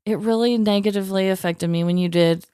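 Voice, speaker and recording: A woman's voice: mid-range voice, fairly even intonation, quick pace, warm tone, clean tone, no echo, clean studio sound. A woman co-hosting a podcast, talking casually and candidly in an earnest, empathetic, emphatic way.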